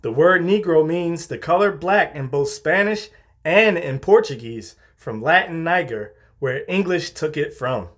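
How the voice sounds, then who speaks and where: A man reading scripted narration in a formal, measured way, like reference-book entries. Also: on the high side, lively intonation, a bit bright.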